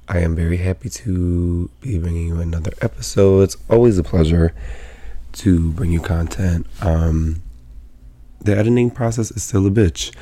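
Raspy low-voiced man, sick and rambling. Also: warm tone. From Pennsylvania. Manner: rambling, profane, lots of fillers. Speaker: podcast host. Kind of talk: casual solo podcast chat.

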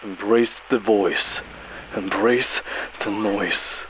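A man playing a haunted, obsessed occult believer, speaking in a halting, ominous, cryptic way in a horror audio drama. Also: lowish voice, noisy background. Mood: incantatory.

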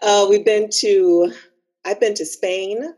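Female podcast guest, mid-range pitch, casual chat, conversational. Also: steady tone, slow pace, warm tone. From Bahamas.

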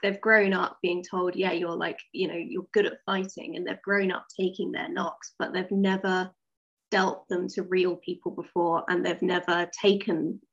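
A woman being interviewed as a writer, explaining her ideas in animated, casual conversational bursts. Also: on the low side, moderate pace, highs cut off.

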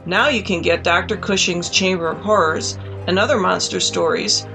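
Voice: mid-range voice, moderate pace, over music, rough recording. An announcer reading a polished ad voice-over.

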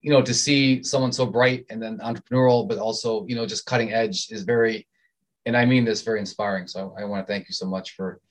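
A man's voice: lowish voice, fairly even intonation, brisk, rough recording. A man from Southern Maryland who co-hosts a medical podcast. He chats casually and often repeats words as he starts sentences. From Southern Maryland, USA. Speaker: podcast co-host, physician. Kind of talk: casual interview chat.

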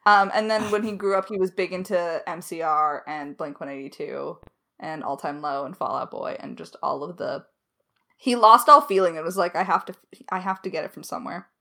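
A woman's voice: fairly low pitch, a little fast, bright, forceful tone. A woman co-hosting a creator Q&A, chatting casually with playful, mock-serious emphasis. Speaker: podcast creator and co-host.

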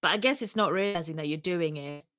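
A woman's voice: fairly low pitch, quick pace, fairly bright tone, no room sound, studio quality. A woman from Uganda with a mostly English accent carrying Ugandan traces, chatting casually and laughing often. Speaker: podcast guest co-host. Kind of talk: casual chat.

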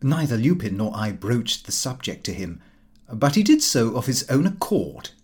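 A man reads a diary-style story in a formal, precise manner. His medium-pitched voice moves with very lively intonation and has a slightly husky edge. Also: moderate pace. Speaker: audiobook narrator. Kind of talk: scripted literary narration in diary form.

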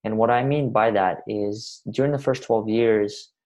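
Man, 20s, from Russia, podcast guest; low, level delivery, earnest and unhurried. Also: steady tone, a little mellow, rough recording. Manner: earnest, measured, speaks in long winding sentences. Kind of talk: reflective interview talk.

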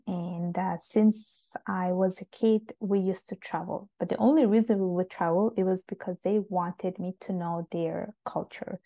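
A young woman from Italy speaks in a low, mellow voice with a gravelly edge, her speech loose and full of fillers. The recording is dry and close. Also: dry recording. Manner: chatty, many fillers like "like" and "uh". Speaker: guest. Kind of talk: casual interview conversation. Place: home in Montreal.

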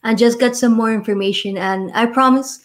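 A woman's voice: on the high side, fairly quick. A woman from Miami with a Miami accent, a guest on an interview podcast, explaining insurance in a friendly, conversational way with many "right?" asides. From Miami, Florida. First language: Spanish.

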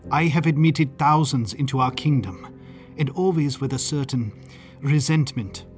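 A man's voice: mid-range voice, moderate pace, soft background music, lightly acted. A man voicing a rigid, zealous police lieutenant who speaks earnestly and blurts out his devotion.

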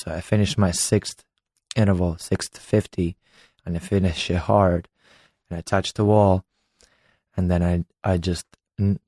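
A man in his late twenties from Ukraine, telling his own story as a former competitive swimmer in a slow, halting way. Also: deep voice, dark tone, dry recording, studio quality. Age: late 20s. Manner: unhurried, halting, recounting a memory. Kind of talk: personal storytelling.

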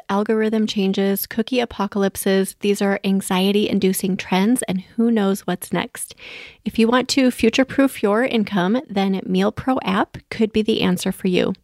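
A woman's voice: mid-range voice, darkish tone, dry recording, studio quality. A woman hosting a podcast in an encouraging, affirming manner. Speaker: podcast host. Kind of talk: scripted podcast intro and friendly interview chat.

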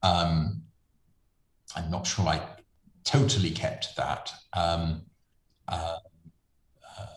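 Deep-voiced male preacher, hesitant and thoughtful, echoey room sound. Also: steady tone, very slow, long pauses. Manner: hesitant, many ums and self-restarts, thoughtful. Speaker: friar and preacher. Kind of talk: reflective interview conversation.

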